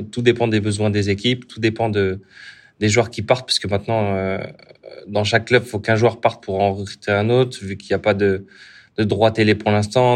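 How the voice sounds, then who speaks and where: A young man, a footballer, answering interview questions casually with many restarts. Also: fairly low pitch. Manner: relaxed, halting, many restarts. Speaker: footballer guest.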